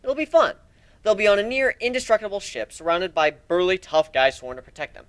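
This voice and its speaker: A man's voice: sits high, very animated, a little fast, fairly bright tone, pitched above the performer's own voice. A man voicing a brash, cheeky griffin pirate leader in a storybook reading, conversational and exclamatory. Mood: cheeky, upbeat.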